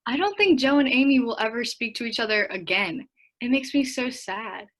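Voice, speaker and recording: Gentle worried teen sister, fairly high voice, earnest, radio drama. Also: female voice, animated, normal speed. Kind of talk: radio play acting.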